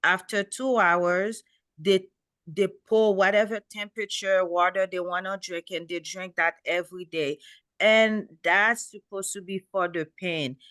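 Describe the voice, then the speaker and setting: A middle-aged woman with a Haitian accent speaks in a bright, forward voice with a dry, close sound. She explains in a plain, anecdotal way as a doctor on a panel, repeating key points. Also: mid-range voice, steady tone, measured pace, no echo. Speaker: podiatrist, panel guest. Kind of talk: explaining remedies on a medical panel. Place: online webinar call.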